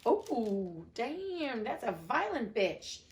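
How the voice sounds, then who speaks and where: A woman's voice: fairly high pitch, big pitch swings, very slow. A woman narrating a storybook theatrically, with casual, irreverent asides. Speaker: host reading a classic novel aloud.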